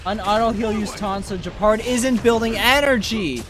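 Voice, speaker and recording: A game streamer doing casual, excitable live commentary in slangy talk peppered with bro and dude. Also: medium pitch, normal speed, music in the background.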